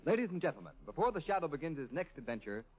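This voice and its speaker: A man announcing in vintage radio style, booming and salesy. His voice sits a bit high and swings widely in pitch. The recording sounds muffled and old, with faint music underneath. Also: very animated, very fast.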